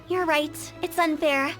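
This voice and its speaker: A cheeky young funeral parlor director in a game dub. Her voice is very high, theatrical and glib, like someone forever selling. Music plays clearly beneath her. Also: fairly even intonation, slow, fairly bright tone. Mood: earnest, theatrical. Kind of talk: animated game dub acting. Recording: music playing under the voice.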